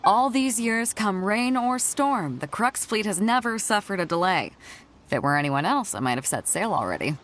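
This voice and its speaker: Game sea captain: confident, playful, commanding, theatrical, wide-ranging medium pitch. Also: female voice, sing-song, normal speed, fairly bright tone, slight background noise. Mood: proud. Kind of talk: video game character acting.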